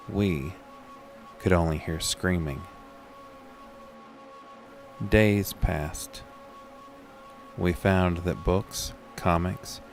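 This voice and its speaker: A man playing a haunted, weary and uneasy narrator in a scripted horror audio drama told in the first person. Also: sits low.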